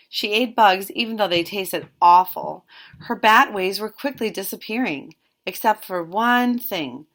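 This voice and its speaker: A woman reading a children's picture book aloud with expressive storytelling narration. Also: mid-range voice. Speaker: storybook reader.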